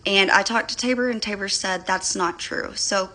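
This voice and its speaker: A woman's voice: mid-range voice, fairly even intonation, moderate pace, sharp tone, rough recording. A middle-aged woman vlogger giving a casual, rambling, indignant update to her followers, telling it in a gossipy way.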